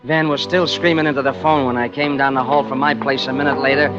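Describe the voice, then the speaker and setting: A man's voice: mid-range voice, steady tone, quick pace, close to an everyday voice. An actor playing a scheming, offhand tough guy in a 1940s radio crime drama, on a muffled old recording with music. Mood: cool, confiding. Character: scheming, coolly deceitful killer narrating, pretending to be loyal pal.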